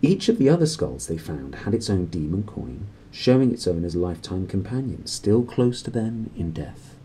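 Male storyteller, thirties. Low, mellow, slightly husky; swooping, dramatic read. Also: big pitch swings, normal speed, a little hiss or hum. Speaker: storybook reader. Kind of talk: expressive read-aloud of a children's novel. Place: small cluttered room indoors.

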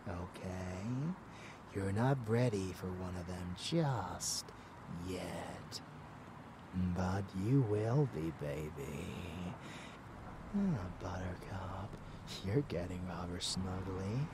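A man playing a rebellious, murderous vampire lover in an intimate ASMR roleplay, teasing and menacing, breathy and often dropping into a whisper. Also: low-pitched, animated, extremely slow, noisy background, heavily acted. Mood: menacing, gleeful.

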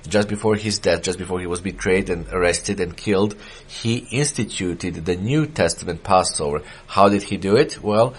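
Serbian-native man preaching, low voice. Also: slightly rough. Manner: measured, hesitant with many fillers. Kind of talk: sermon reading and explaining quotes. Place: small church with wooden wall.

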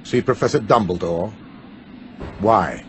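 A man's voice: lowish voice, a little slow, slight background noise, close to an everyday voice. A man playing a stern, sneering wizard-school professor in a video game, English accent, clipped and menacing delivery. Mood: stern, dismissive. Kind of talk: video game cutscene acting.

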